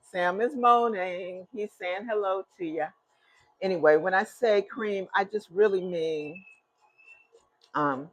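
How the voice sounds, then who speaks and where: A woman with a loud voice, hosting a casual home cooking demonstration and chatting homily to her viewers as she works. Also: lowish voice. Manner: chatty and homey, talks straight to viewers. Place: home kitchen. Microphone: phone, later a clip-on mic.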